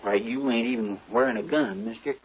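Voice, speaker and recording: An actor playing a simple, good-natured frontier sidekick with rustic, colloquial talk in an old western radio drama. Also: male voice, mid-range voice, steady tone, brisk, a little hiss or hum, close to an everyday voice. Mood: indignant. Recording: dull old radio, music and crowd behind.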